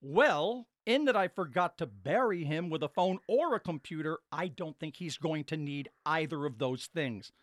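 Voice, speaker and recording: An exasperated, sarcastic son on a customer service call, played by a man. His pitch swings widely as he argues his case. It is comic audio drama with a very dry, studio-close sound. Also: lively intonation, moderate pace, hardly any pauses, dry recording, close to an everyday voice. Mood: weary sarcasm. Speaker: caller. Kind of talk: comedic audio drama acting.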